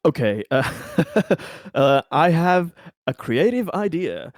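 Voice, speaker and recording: A man's voice: a little high, very animated, takes it slowly, dry recording, clean studio sound. A man playing a tabletop roleplaying game, talking casually through his moves.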